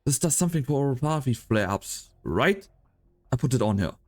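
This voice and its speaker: Man acting an excitable henchman, swooping pitch. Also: a little fast, lightly acted. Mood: panicked, worried. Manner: excitable, stammering. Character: anxious, soft-hearted young male henchman. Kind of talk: amateur fan-dub game drama acting.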